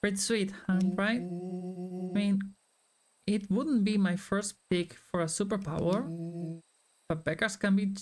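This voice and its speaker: Woman as a slow-talking, goofy big guy. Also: mid-range voice, fairly even intonation, darkish tone, lightly acted. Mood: casual boasting. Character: oversized, goofy, easygoing friend turned older man. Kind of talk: reading game dialogue aloud in character.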